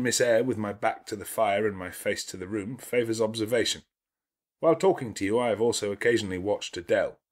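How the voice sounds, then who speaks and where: Man acting a commanding, brooding English gentleman, slightly husky. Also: fairly low pitch. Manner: grandiloquent, sardonic, emphatic. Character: brooding, domineering, teasing middle-aged English gentleman. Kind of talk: dramatized classic novel audiobook reading.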